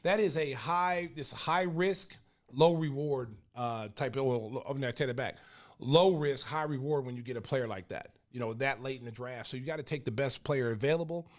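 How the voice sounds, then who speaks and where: A man's voice: mid-range voice, normal speed. An older man from Oklahoma, a podcast host and former basketball player, telling sports stories in casual talk full of fillers. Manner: animated, laughing storyteller with many fillers.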